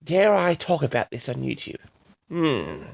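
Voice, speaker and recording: Theatrical man with a heavily creaky voice. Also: fairly high pitch, wildly swooping pitch, dry recording. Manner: theatrical, addresses listeners as audience, drawn-out words. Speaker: host of a personal audio log. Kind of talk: rambling solo monologue to an audience.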